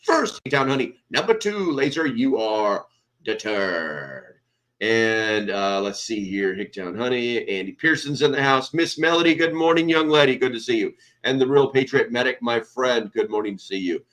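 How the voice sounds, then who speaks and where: A man's voice: medium pitch, animated, normal speed, a bit bright. An American man hosting a casual livestream, rambling as he reads names from the chat, speaking into a small microphone in a nearly bare room. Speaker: livestream host. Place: sparse, nearly empty room. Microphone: small desk microphone.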